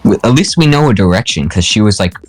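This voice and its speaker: A man's voice: lowish voice, animated, quick pace, soft background music. A man playing a tabletop game, joking in character as an old veteran. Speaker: player. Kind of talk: casual tabletop roleplay.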